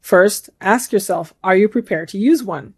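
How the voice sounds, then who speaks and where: A middle-aged woman giving a measured, instructional talk to camera in a room at home. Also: medium pitch, breathy edge. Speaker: amateur radio video host. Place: home room with bookshelves, indoors.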